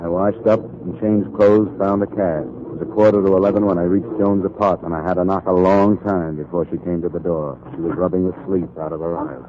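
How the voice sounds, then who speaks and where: An actor playing a hard-boiled, wisecracking newspaper columnist in 1940s radio noir, speaking tersely and toughly. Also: male voice, sits low, fairly even intonation, brisk, hardly any pauses, close to an everyday voice. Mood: brooding narration. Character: hard-boiled, defiant male newspaper columnist narrating his story. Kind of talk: 1940s radio noir acting and first-person narration. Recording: noisy, muffled 1940s radio recording.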